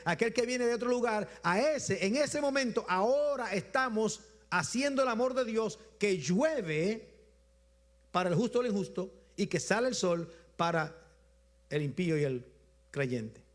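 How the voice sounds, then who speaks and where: Man, Puerto Rican accent, high voice, Sunday sermon, repetitive cadence, slows for emphasis. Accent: Puerto Rican Spanish. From Puerto Rico. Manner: rhythmic repetition, drops low at phrase ends, slows for emphasis. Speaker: bishop preaching.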